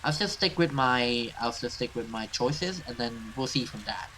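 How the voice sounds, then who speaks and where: A man's voice: medium pitch, lively intonation, normal speed, bright, forceful tone, slight background noise, low-quality recording. A man giving casual let's play commentary and reading game text aloud. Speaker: gaming video host.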